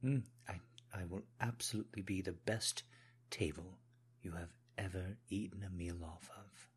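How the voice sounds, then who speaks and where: A man's voice: low-pitched, fairly even intonation, moderate pace, husky. A man voicing a devoted, obsequious manservant with a put-on British accent, speaking in a deferential, politely formal way in an intimate audio roleplay. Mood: flustered, apologetic.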